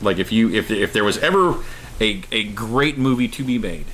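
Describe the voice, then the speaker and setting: A man co-hosts a casual online show with a very lively, varied pitch. His delivery is jokey and unscripted, thinking aloud as he goes. There is faint noise and clicking in the recording. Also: normal speed.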